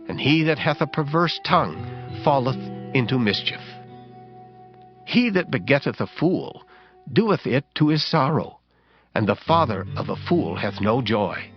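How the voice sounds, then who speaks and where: A man's voice: mid-range voice, animated, measured pace. A man giving a solemn, declamatory reading of King James scripture in a dramatized audiobook style. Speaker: scripture reader. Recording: dull lo-fi sound under prominent music. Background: music.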